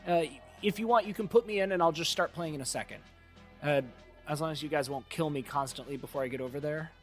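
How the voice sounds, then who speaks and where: Man playing eager, geeky male student, high-pitched, casual and sweary, audio drama with music behind. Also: fast talker, lightly acted. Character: young male student, foul-mouthed, eager geeky friend.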